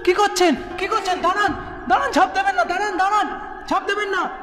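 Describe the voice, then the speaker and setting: A woman's voice: very high-pitched, steady tone, fast talker, pitched above the performer's own voice. A woman voicing an alarmed young man in a horror story, with urgent delivery. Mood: panicked pleading. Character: alarmed young man witnessing ghostly events. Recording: echoey long reverb with loud music.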